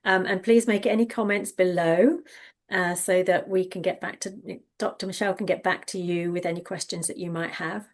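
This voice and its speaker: A woman's voice: fairly low pitch, fairly even intonation, moderate pace. A woman hosting an interview on a video call, enthusiastic and encouraging, often repeating words as she talks. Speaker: interview host. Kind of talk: interview conversation.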